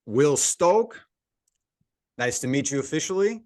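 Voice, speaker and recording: A man's voice: fairly high pitch, animated, a little slow, dry recording, studio quality. A man hosting a podcast, easygoing and a bit hesitant, with many uhs. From Long Beach, California. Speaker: podcast host. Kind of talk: casual podcast interview.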